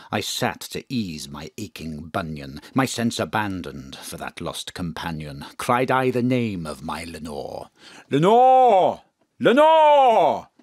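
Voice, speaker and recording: An actor playing a gloomy, grieving man who recites gothic verse in a melodramatic, drawn-out manner for an audio drama. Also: low voice, no echo, studio quality, full character voice. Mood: anguished pleading. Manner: melodramatic, drawn-out, hushed then crying out.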